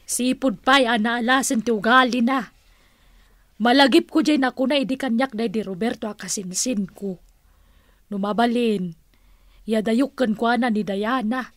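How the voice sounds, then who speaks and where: A woman's voice: a little high, no echo, natural voice. A woman playing a strong-minded young mistress of a restaurant, emphatic and disapproving, in a radio drama. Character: strong-minded senyorita managing restaurant staff. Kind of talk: Philippine radio drama acting.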